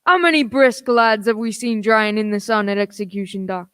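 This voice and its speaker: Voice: mid-range voice, moderate pace, a bit bright, clear, resonant tone, dry recording, studio quality, lightly acted. An actor playing a wily old one-legged pirate sea cook in a radio play, speaking in folksy, cajoling pirate dialect. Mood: scheming, forceful.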